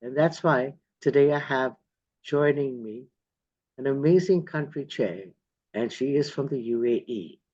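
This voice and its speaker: A man's voice: medium pitch, a little slow, a little mellow, dull audio. A man hosting an online interview over a video call, enthusiastic and effusive. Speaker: host.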